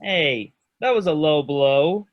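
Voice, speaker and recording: A man's voice: fairly high pitch, lively intonation, slow pace, muffled recording. A man playing a boastful, playful young friend, mock-heroic in a children's theatre staged reading.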